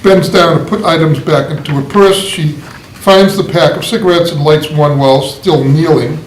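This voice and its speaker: A man's voice: fairly high pitch, moderate pace. A man reading scripted stage directions aloud as the narrator of a play read-through. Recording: echoey room, faint noise.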